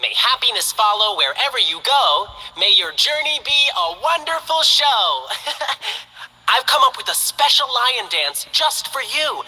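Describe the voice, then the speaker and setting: A woman's voice: a little high, very animated, unhurried, pushed and bright, breathy edge, long reverb tail, soft background music, full character voice, low-quality recording. An actress playing a cheerful, playful young female lion dancer in a game, upbeat and chatty.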